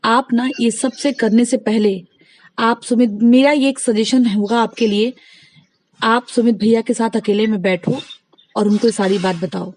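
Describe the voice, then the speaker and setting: A woman's voice: a little high, fairly even intonation, measured pace, a little mellow, no room sound, rough recording. A woman talking casually in a recorded phone conversation, giving advice insistently and repeating herself for emphasis.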